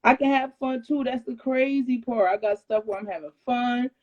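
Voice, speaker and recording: A woman's voice: fairly high pitch, normal speed. Woman on a lo-fi live stream. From Detroit, USA. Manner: animated, laughs often, emphatic repetition. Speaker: rapper guest. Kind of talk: casual live-stream chat. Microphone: phone on a live video call.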